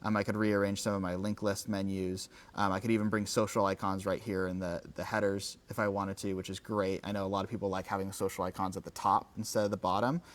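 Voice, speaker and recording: A man giving a casual live software demo, with an enthusiastic, informal, conversational manner. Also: low voice, level tone, quick pace, few pauses. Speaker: company executive presenting a livestream.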